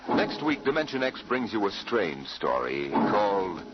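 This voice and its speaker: American radio announcer with wide-swinging pitch. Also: male voice, very animated, a little slow, very noisy. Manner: dramatic, formal. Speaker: radio series host and announcer. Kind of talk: 1950s radio announcing, scripted narration. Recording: dull vintage radio broadcast. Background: loud music.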